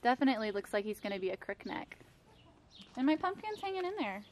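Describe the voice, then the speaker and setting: A woman's voice: on the high side, sing-song, fast. A woman in her thirties giving a casual, chatty garden tour, talking affectionately as she goes. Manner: chatty, affectionate talk about plants. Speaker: home gardening vlogger. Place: outdoors in a home backyard garden. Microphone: handheld camera. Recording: clear background noise outdoors.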